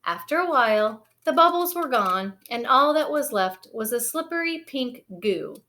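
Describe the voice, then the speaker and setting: A woman storyteller reading a children's picture book aloud in an expressive storytelling style. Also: sits high, normal speed.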